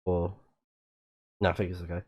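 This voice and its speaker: A man with a low, slightly scratchy voice talks casually through a live let's play. He speaks as a streamer, commenting on the game and reading bits of it out. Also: completely flat delivery, moderate pace, darkish tone, husky. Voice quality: a bit scratchy.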